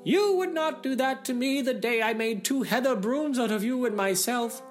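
A man's voice: extremely high pitch, normal speed, soft background music, pitched above the performer's own voice. A man voicing an indignant, reproachful enchanted hen from a fairy tale, clucking and scolding.

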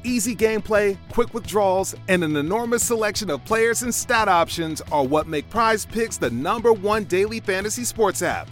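A man's voice: high voice, expressive, normal speed, hardly any pauses, a bit bright. A man reading a scripted promotional advertisement as an announcer. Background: faint music.

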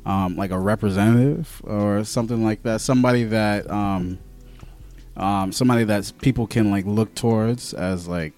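A man hosting a casual interview, laid-back and halting, with many restarts. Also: lowish voice, darkish tone. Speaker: podcast host.

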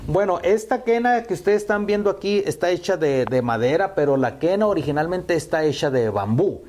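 A man's voice: high-pitched, animated, fairly quick, darkish tone, slight background noise. A Mexican man in his fifties, a musician answering interview questions in a hesitant way, full of fillers and repeated words. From Sonora, Mexico. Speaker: musician guest.

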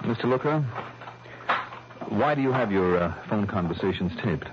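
Man acting a low-voiced, skeptical investigator. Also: lively intonation, dull audio, noisy background, natural voice. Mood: skeptical, probing. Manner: measured, questioning, dry. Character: cool, skeptical male investigator who probes politely. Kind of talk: old-time radio drama acting and narration. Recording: thin, band-limited vintage radio recording.

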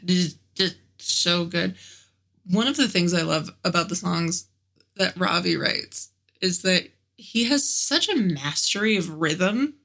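A woman's voice: fairly low pitch, lively intonation, slow, creaky, fairly bright tone. A woman co-hosting a podcast in casual chat, gushing with enthusiasm about the music. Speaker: podcast co-host.

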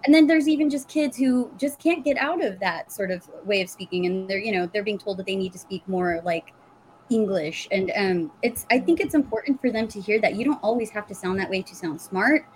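A woman's voice: mid-range voice, a little fast, a little hiss or hum, low-quality recording. Hesitant American woman in casual chat. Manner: hesitant, searching for words, giggly. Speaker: podcaster.

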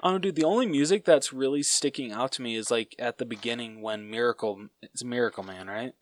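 A man co-hosts a podcast and chats about a movie in a joking, laid-back way, with plenty of fillers. His voice sits at an ordinary mid pitch. The sound is dry and close. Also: fairly quick, no echo. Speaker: podcast co-host. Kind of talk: casual movie review chat.

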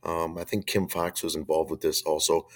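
A man hosts a faith-based talk radio show with a very low voice that a chest cold has made hoarse. He talks bluntly and conversationally and gives his opinions freely. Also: level tone, moderate pace.

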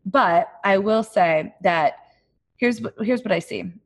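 A woman's voice: mid-range voice, slow. A woman talking casually about running her business, reflective and full of "like" fillers. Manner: chatty, many "like" fillers, self-reflective. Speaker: entrepreneur guest. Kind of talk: casual interview talk.

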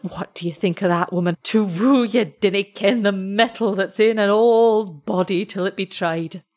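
A woman voicing an excitable, tender old shepherd in a story, with a Scottish accent and broad, exclamatory dialect speech. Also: medium pitch, normal speed, lightly acted. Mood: hasty, bossy.

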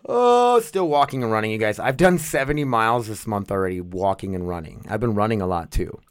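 A man's voice: on the low side, expressive, brisk, clean tone, dry recording, studio quality. A young man, rambling casually to his viewers, often restarting and laughing at himself. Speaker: vlogger.

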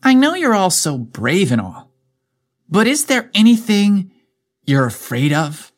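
A man's voice: high-pitched, huge pitch swings, unhurried, pitched above the performer's own voice, full character voice. A man voicing an eager, curious young schoolchild asking questions in a story.

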